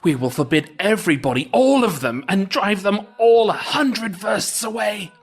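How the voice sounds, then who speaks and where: Shrill shrieking, panicked devil. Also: male voice, expressive, moderate pace, husky, full character voice. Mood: panicked pleading. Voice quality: shrill, strained. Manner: wailing, pleading.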